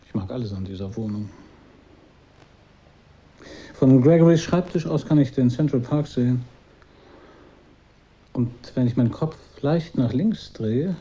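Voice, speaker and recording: An actor playing a detached, brooding narrator in a literary radio drama, deliberate and at times hushed. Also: male voice, medium pitch, in a large hall, dull audio, lightly acted. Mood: subdued. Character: detached, brooding male narrator of a broken romance.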